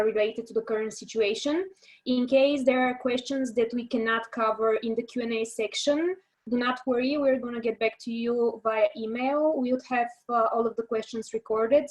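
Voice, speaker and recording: Slightly high-voiced webinar co-presenter woman. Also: poor audio. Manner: friendly, welcoming introduction.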